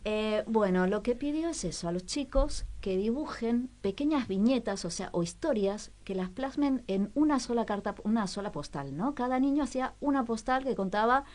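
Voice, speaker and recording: A woman hosting a radio culture segment, talking casually with many small pauses and fillers. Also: on the high side, animated, a little mellow. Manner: chatty, hesitant with frequent fillers.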